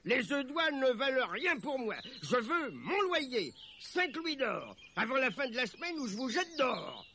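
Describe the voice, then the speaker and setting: A man voicing a greedy, ill-tempered baron who shouts imperious demands in a storybook tale. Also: very high voice, big pitch swings, a little hiss or hum, pitched above the performer's own voice, full character voice. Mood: threatening. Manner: shouting, imperious, drawn-out exclamations. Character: gruff, greedy, bullying baron demanding rent.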